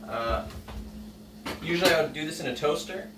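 A man's voice: fairly high pitch, normal speed. Young man, casual cooking vlogger, offhand and chatty, picked up from across an echoey kitchen. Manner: chatty, offhand, lots of fillers. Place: home kitchen. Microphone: camera on the counter, across the kitchen. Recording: roomy, distant camera audio with noise.

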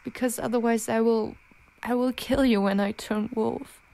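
A woman playing an anxious, gentle young werewolf in an intimate roleplay, speaking in a halting, pleading manner. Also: a little high, steady tone, creaky. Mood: urgent pleading. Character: anxious, self-loathing young female werewolf, protective and gentle. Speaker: amateur roleplay voice actor. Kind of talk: intimate ASMR girlfriend roleplay.

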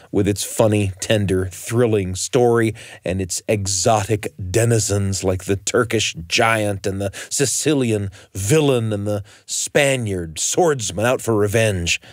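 A man's voice: on the low side, no room sound, clean studio sound. An American man telling a scripted personal story as a radio host, in the manner of a storyteller.

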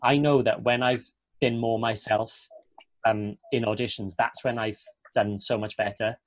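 Young Welsh actor, easygoing chatter with lots of "um" and "like," a dry, slightly muffled video-call sound. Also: male voice, mid-range voice, steady tone, a little slow, no echo. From Wales. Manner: chatty, many fillers and restarts. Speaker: actor, guest speaker. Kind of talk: casual video-call interview. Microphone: earphone mic on a video call.

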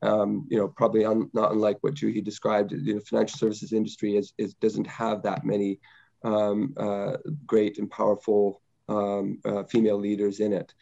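A man speaking on a webinar panel over a video call, halting and full of repeated words and fillers as he shares his reasons. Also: on the low side, monotone, gravelly, mellow. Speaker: panelist, bank executive. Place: home or office video call. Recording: slightly dull video-call audio.

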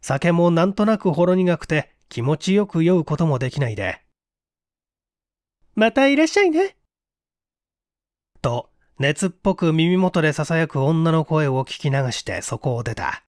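A male reader narrates a mystery story in scripted literary style. His voice is high for a man, with animated intonation. The recording is very dry and close to room-free. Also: normal speed, hardly any pauses, no room sound. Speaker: audiobook reader.

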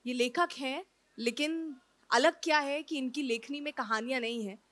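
A woman's voice: high-pitched, moderate pace, a bit bright, no echo. A woman moderating a panel discussion, speaking formally into a handheld mic.

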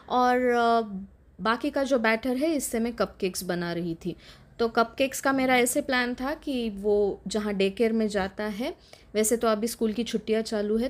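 A woman, a mother narrating her family vlog in a relaxed, chatty voice-over that slips into English phrases. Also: a little high. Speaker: vlogger, mother.

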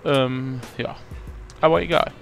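A hoarse man hosting a let's play, chatting casually and self-mockingly, thinking aloud with fillers as he plays. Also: mid-range voice, steady tone, takes it slowly, fairly bright tone, faint music behind. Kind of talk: casual gameplay commentary.

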